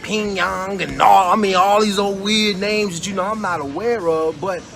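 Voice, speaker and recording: A man hosting a relaxed, joking interview, asking questions in a laid-back way. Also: high voice, moderate pace, creaky, a crowd in the background. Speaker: interview host. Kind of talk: casual interview chat.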